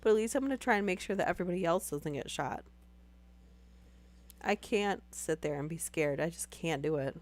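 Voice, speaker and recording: A woman's voice: lowish voice, lively intonation, fairly quick. A young woman chatting casually with live viewers while losing her voice to a sore throat, rambling with many fillers. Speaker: streamer.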